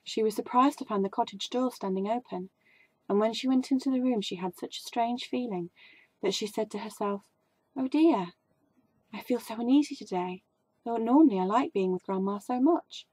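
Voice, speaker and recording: A woman's voice: a little high, moderate pace, a little mellow, slightly rough, no echo. A woman reading a fairy tale aloud as a bedtime story. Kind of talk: storybook narration.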